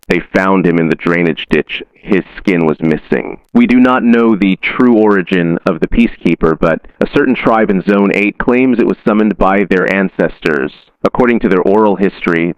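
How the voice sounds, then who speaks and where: A man reading a scripted horror report like a documentary, in a measured, solemn and deliberate manner. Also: deep voice. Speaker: narrator of a horror story. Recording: dull lo-fi sound with room echo.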